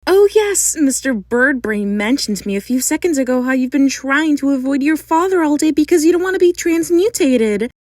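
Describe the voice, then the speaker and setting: Woman voicing a high, perky monster girl. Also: lively intonation, moderate pace, few pauses, darkish tone, no room sound, clean studio sound. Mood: bright, eager. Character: cheery, playfully teasing transformed monster girl, teenage. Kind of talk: amateur fandub voice acting.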